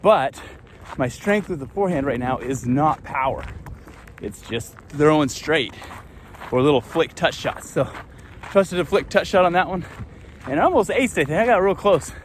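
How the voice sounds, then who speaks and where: A man vlogging outdoors on a desert course, thinking aloud in a relaxed way as he walks between shots. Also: a little high, normal speed, a little hiss or hum. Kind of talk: casual walk-and-talk commentary. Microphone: handheld phone and gimbal camera.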